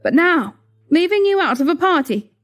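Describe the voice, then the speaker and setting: A woman's voice: very high-pitched, big pitch swings, normal speed, soft background music. A woman playing a loyal, gossipy young maid in an audio drama, emphatic and eager to please her mistress. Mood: indignant. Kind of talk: melodramatic audio drama acting.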